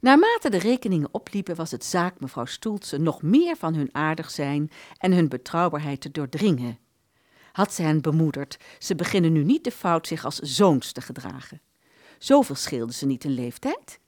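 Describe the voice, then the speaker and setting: Casting: female storyteller for a scripted radio play, low register, richly varied intonation. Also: normal speed, no room sound, studio quality. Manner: expressive storytelling. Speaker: narrator. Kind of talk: scripted literary audio drama narration.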